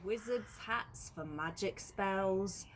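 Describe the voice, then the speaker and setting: A woman's voice: mid-range voice, slow pace, a little hiss or hum, low-quality recording. A woman reading a rhyming picture book aloud for children. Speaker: storybook reader. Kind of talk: scripted children's rhyme read aloud.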